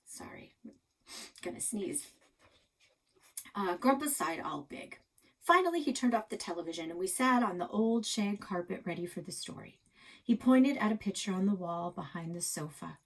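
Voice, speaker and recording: A woman narrating a children's chapter book aloud as a library storyteller, in an expressive style. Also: mid-range voice, normal speed, rough recording.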